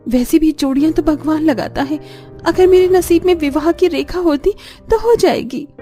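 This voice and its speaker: Woman voicing a high, girlish cheerful village daughter. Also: normal speed, dark tone, soft background music, natural voice. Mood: consoling. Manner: girlish, childlike, exclaiming.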